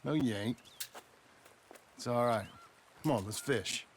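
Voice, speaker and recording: An actor playing a gruff but tender frontier rancher father with an American Western accent, a gravelly voice and a folksy, halting delivery, in a video game. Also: mid-range voice, slight background noise, lightly acted. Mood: wry, gentle. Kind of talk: naturalistic video game acting.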